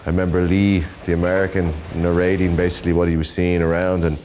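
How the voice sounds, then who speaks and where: A man's voice: deep voice, flat delivery, fast, darkish tone, a little hiss or hum. An Irish man narrating his own documentary in a casual, reflective voice-over, often adding "you know". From Ireland. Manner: casual and conversational, with many "you know" fillers. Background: music under the narration.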